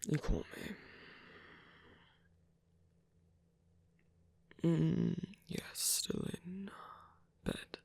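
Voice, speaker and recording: Breathy, creaky man acting a weary tender villain. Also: a little high, fairly even intonation, whispered, heavily acted. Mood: hesitant, pained. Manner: hesitant, halting, soft-spoken. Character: weary, tender male villain, bedridden with pain. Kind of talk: intimate ASMR roleplay acting.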